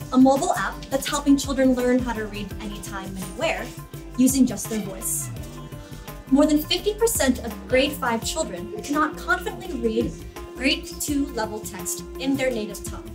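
A woman's voice: high-pitched, expressive, normal speed. A woman in her thirties presenting as host, in a scripted, to-camera style. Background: background music.

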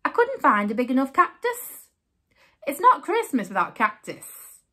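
A woman's voice: high voice, wildly swooping pitch, unhurried, pushed and bright, full character voice. A woman voicing a friendly, apologetic bear in a children's storybook, speaking earnestly and emphatically.

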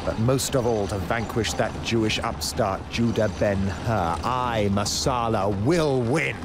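Arrogant roman chariot villain in a radio play, slow grand delivery, noisy background. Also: male voice, lowish voice. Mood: arrogant, fervent. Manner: declamatory, arrogant. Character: proud vengeful Roman chariot racer, the villain. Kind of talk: radio drama acting.

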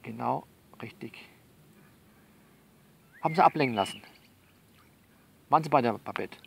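Gravelly man, coaxing and bossy. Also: mid-range voice, a little slow, fairly bright tone. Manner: coaxing, bossy, repeats himself. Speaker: performer of his own audio novel. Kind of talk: improvised one-sided phone-call monologue, conversational.

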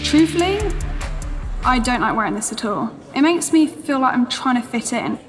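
An actress playing a young English student programmer who is dry, sarcastic and a little flustered. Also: female voice, a little high, lively intonation, normal speed, over loud music, natural voice. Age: young adult.

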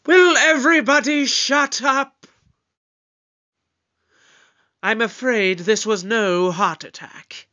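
A man's voice: extremely high pitch, pushed and bright, heavily acted. Man playing a breezy time-traveling doctor. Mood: urgent. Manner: light, offhand, drawn-out delivery. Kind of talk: fan audio drama acting.

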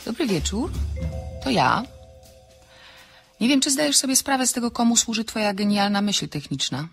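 A woman giving an expressive reading of a novel, acting out the dialogue, in a husky voice. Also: on the high side, normal speed, soft background music.

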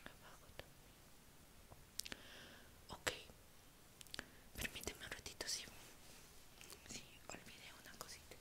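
A young woman in a whispered ASMR roleplay as a dentist, breathy and close to the mic, reassuring and unhurried. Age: young adult. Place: small indoor room.

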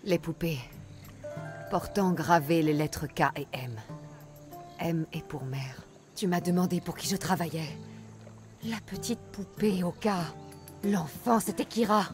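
An actress voices a self-assured warrior woman for a video game. Her voice sits low and she speaks firmly, in measured phrases. Music plays clearly behind her. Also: darkish tone, natural voice. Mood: grave. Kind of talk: dubbed video game dialogue.

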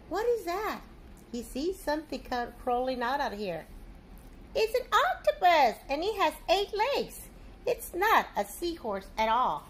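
A middle-aged woman reads a children's picture book aloud like a teacher, in a high, very animated voice. She takes it slowly and speaks simply to young listeners. It is a home phone recording. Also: a little hiss or hum. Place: living room at home, indoors.

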